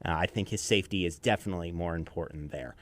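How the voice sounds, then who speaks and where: A man's voice: low-pitched, quick pace, fairly bright tone. An American man presenting as an afternoon radio DJ, talking listeners through songs, breaks and countdowns in a conversational style.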